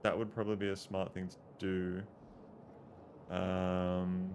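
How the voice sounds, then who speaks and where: A young man with an Australian accent talks loosely over a game stream, wandering between thoughts and casual swearing. His voice sits low, with a faint hiss behind it. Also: flat delivery, takes it slowly, a little mellow. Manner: rambling, easygoing, swears casually, reads chat aloud. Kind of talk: casual live-stream chat while playing.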